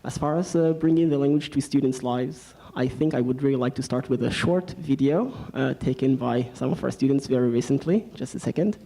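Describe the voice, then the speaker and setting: Man, gravelly, dark mellow tone, hesitant panelist. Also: medium pitch, fairly quick, hardly any pauses, a little hiss or hum. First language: Hebrew. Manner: hesitant, many "uh"s. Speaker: Hebrew language coordinator. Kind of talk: panel introduction.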